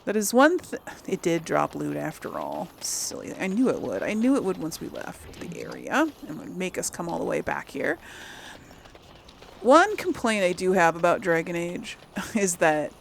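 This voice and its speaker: A woman's voice: fairly low pitch, very animated, normal speed, gravelly, slight background noise. A woman hosting a let's play, chatting casually to herself and her viewers as she comments on the game.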